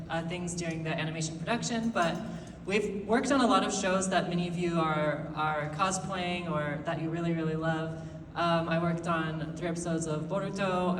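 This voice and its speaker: A woman animator speaking as a guest on a convention stage in a lively way. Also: lowish voice, slight background noise. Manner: lively, not monotone. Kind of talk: stage panel talk. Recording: echoey hall, distant mic.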